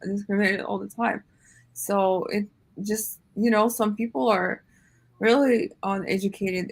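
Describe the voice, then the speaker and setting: Young woman, radio guest, candid and loose, a bit of vocal fry. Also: medium pitch. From Eastern Ukraine. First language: Russian. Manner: candid, loose, many fillers. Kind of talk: casual interview. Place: radio studio.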